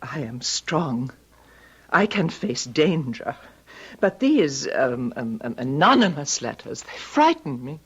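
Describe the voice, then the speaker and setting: A husky, expressive female voice with a foreign European accent, heard on a muffled old radio drama recording. a fearful exiled ballerina who speaks hesitantly and theatrically, her pitch moving widely with her nerves. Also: slow, exaggerated voice. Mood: fearful, earnest. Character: frightened, dramatic émigré female ballet dancer seeking asylum.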